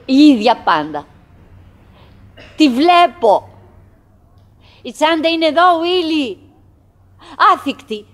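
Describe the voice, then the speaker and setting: An actress playing a wistful, lonely, chattering wife in a theatrical radio play, musing in fragments and repeating herself. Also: female voice, fairly high pitch, natural voice. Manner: fragmented, repetitive musing with pauses and self-address.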